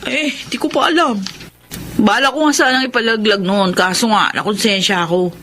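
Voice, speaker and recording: A woman's voice: fairly high pitch, normal speed, fairly bright tone, lightly acted. An actress playing a blunt, tomboyish young pregnant woman who does not want motherhood, in a Filipino radio drama. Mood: resigned, troubled. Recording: noisy radio drama recording.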